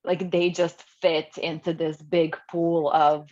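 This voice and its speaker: A woman's voice: deep voice, level tone, slow pace, darkish tone. A woman from Croatia on a video call, asking a thoughtful question in a hedging way, with many fillers. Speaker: webinar attendee. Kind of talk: casual question from the audience. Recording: muffled lo-fi video call audio.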